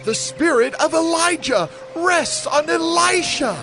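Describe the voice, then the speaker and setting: A man's voice: extremely high pitch, animated, unhurried, bright, forceful tone, faint music behind, full character voice. A man playing an earnest, deferential follower of prophets in dramatized scripture, reverent and urging. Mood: awed.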